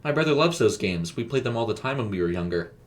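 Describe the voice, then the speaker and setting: A man voicing a polite, friendly teenage girl babysitter in an audio storybook, gentle and casual. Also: medium pitch, animated, quick pace, lightly acted. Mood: friendly, curious.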